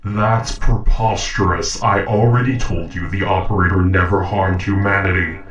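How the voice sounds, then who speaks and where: A man playing a calm, logical sentient robot in an audio roleplay, speaking in formal, measured, precise sentences. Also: deep voice, echoey room, far from the mic, poor audio. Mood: firm, skeptical. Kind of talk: dramatic audio roleplay acting.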